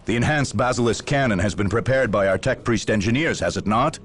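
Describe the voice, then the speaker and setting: A man's voice: lowish voice, brisk, slight background noise, natural voice. A man playing a stern, weary military general in a video game, speaking in grandiose speeches and clipped questions. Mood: grave, resolute. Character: stern, weary Imperial Guard general, zealous and commanding. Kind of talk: dramatic video game cutscene acting.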